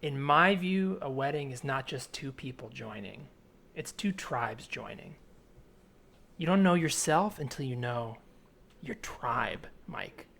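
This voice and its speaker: A man improvising an earnest, obsessive man in his twenties in a naturalistic comedy scene, speaking haltingly with pleading intensity. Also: medium pitch, lively intonation, creaky, a bit bright, full character voice. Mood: insistent. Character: earnest obsessive 27-year-old man haunted by flip-flops. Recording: remote recording with added ambient soundscape noise.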